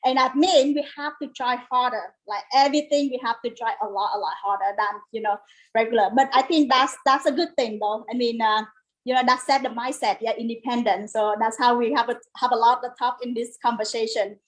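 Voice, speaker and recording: A woman's voice: on the high side, steady tone, fairly quick, low-quality recording. A middle-aged woman from Vietnam with a Vietnamese accent, chatting informally as an interview guest in non-native English. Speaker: guest, home business owner. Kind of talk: casual interview chat.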